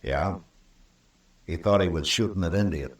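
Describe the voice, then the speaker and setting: Deep, stern frontier marshal played by a man. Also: natural voice. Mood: calm, firm. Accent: American. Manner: firm, deliberate, authoritative. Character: stern, fair-minded male frontier US marshal. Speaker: lead actor and narrator. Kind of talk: 1950s American radio western acting. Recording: old radio recording, roomy and distant.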